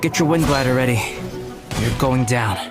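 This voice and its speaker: A man playing a calm, aloof, polite young alchemist in a video game, speaking in a measured, formal, detached way. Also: mid-range voice, vocal fry, natural voice. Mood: focused, decisive. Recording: music behind the voice.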